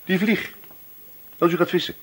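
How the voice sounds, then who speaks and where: A man's voice: high voice, fairly even intonation, moderate pace. A man playing a wily, relentless police inspector in a radio drama, feigning casual chat and probing until he accuses. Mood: deceptively casual. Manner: probing, hesitant feints turning accusatory.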